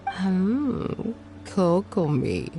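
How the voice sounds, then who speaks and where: Low-pitched, wry shrine maiden. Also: female voice, sing-song, drawn-out words, mellow, soft background music, full character voice. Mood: businesslike, grave. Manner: wry, matter-of-fact briefing. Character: female shrine maiden subordinate, wry, teasing yet businesslike. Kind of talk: anime video game voice acting.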